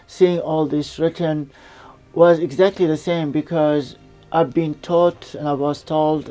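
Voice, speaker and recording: A woman's voice: low voice, dark tone. A woman from Iraq, hosting her TV show in a reflective, rambling monologue with loose grammar. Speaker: TV show host.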